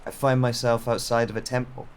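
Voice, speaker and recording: A man playing a quiet, reflective wanderer with lost memory, narrating an audio drama in the first person in a measured, introspective way. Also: mid-range voice, level tone, slight background noise, natural voice. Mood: pensive, searching. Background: music and rain.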